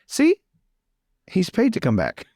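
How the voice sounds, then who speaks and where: A man's voice: high-pitched, wildly swooping pitch, slow, a little mellow, no room sound, studio quality. A man playing a tabletop roleplaying game, chatting and switching between narration and in-character dialogue.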